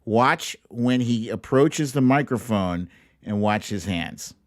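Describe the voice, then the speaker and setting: A man's voice: medium pitch, expressive, takes it slowly. A man hosting a casual political commentary livestream in a chatty, incredulous, amused way.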